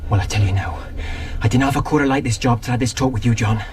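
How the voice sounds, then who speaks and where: An actor playing an eager, rough young pirate recruit in a radio drama. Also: male voice, mid-range voice, fast talker, a little hiss or hum, close to an everyday voice. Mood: won over.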